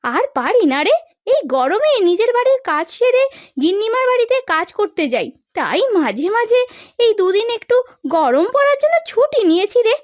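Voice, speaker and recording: A woman's voice: very high voice, big pitch swings, no room sound. A woman playing a weary, plaintive village housemaid and wife in a cartoon moral story. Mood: weary, complaining. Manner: plaintive, complaining, drawn-out lamenting. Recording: dry studio voice over background music.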